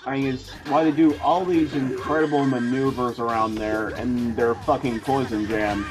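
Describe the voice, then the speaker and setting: Casual male gamer over clear music. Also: medium pitch, steady tone, normal speed, poor audio. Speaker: gaming commentator. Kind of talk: casual group playthrough commentary. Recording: game music behind voice.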